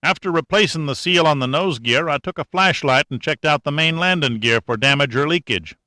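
A man voicing a folksy, excitable country-boy sergeant mechanic with a drawling, colloquial manner, in an audiobook reading. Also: fairly high pitch, brisk, dry recording, studio quality, voice pushed up.

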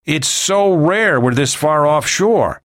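Man playing dry, teasing crewmate, raised pitch. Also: lively intonation, slow pace, fairly bright tone, no echo, full character voice. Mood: casual. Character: dry, teasing male crew colleague.

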